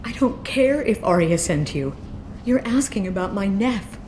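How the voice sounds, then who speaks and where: A woman's voice: medium pitch, expressive, a little slow, a little mellow, close to an everyday voice. An actress playing a grieving, bitter mother in a video game, tearful and desperate. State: distraught, tearful. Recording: clearly noisy game ambience.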